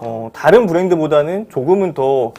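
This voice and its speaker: A man's voice: on the high side, expressive, moderate pace, warm tone. A man reporting on cars in a casual talk-show chat, hedging a lot with fillers and restarts. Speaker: car reporter.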